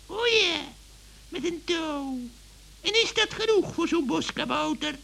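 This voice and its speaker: Shrill, scornful witch. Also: animated, a little slow, vocal fry, a bit bright, heavily acted. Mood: scolding. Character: scolding shrill female witch, scornful villain. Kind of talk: 1960s children's radio play. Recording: old hissy radio-play recording.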